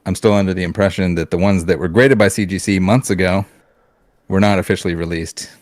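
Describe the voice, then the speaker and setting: A man's voice: deep voice, steady tone, a little fast, no echo, studio quality. A man from Canada on a casual podcast, ranting bluntly with swearing, restarts and fillers. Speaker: podcast co-host.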